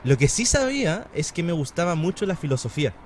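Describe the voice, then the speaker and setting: A man in his thirties from Chile with a Chilean Spanish accent, chatting casually as a podcast guest, relaxed and full of fillers and slang. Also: fairly high pitch, fast talker, slight background noise. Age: 30s. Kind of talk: casual conversation.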